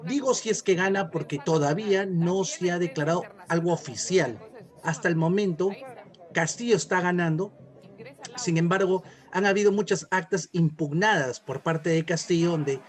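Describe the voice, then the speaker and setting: Male political pundit, Peruvian Spanish accent, high register, conversational explainer in an interview setting. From Peru. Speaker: political consultant, interview guest.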